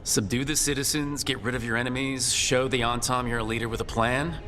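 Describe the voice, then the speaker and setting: Game lead for a man: steady, earnest adventurer, medium pitch, direct delivery with music clearly behind. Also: fairly quick, natural voice. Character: earnest, plain-spoken heroic male adventurer. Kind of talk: video game voice acting.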